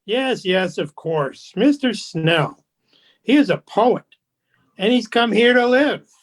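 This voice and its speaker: A man's voice: high voice, animated, slow pace, heavily acted. A man plays a snobbish society go-between for a radio play. He is formal and genteel, deferential in his phrasing and faintly condescending. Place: remote home recording over video call. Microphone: video call.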